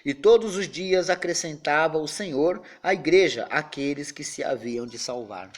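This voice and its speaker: A man's voice: fairly high pitch, animated, normal speed. A man, a pastor, leading an informal Bible study with a prayer, talking in a chatty way and often ending phrases with tag questions.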